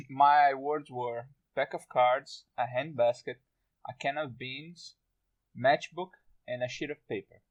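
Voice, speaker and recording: A man's voice: medium pitch, fairly even intonation, slow, low-quality recording. A man on a podcast chatting casually and telling a short story he wrote. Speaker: podcast participant, amateur writer. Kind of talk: casual chat, recounting own short story.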